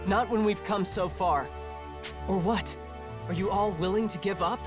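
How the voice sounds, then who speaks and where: A man's voice: high voice, unhurried. An actor voicing a kind, earnest teenage boy hero in a video game, sincere and pleading. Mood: urgent, defiant. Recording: loud music behind the voice.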